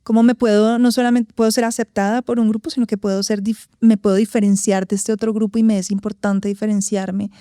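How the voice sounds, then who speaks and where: A woman from Colombia with a Bogotá accent, a guest speaking thoughtfully in an interview, often pausing to find words and adding tag questions. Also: medium pitch, flat delivery, mellow, no room sound, studio quality. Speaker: guest, actress and vocal coach. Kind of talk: reflective interview talk.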